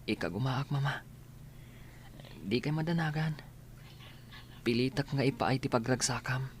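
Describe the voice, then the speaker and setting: A man acting as a gentle, sickly schoolboy in a radio drama, quietly determined. His mid-pitched voice sounds over faint room ambience. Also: measured pace, lightly acted. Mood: subdued, determined. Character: gentle, determined ailing schoolboy, devoted son. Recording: faint background ambience and noise.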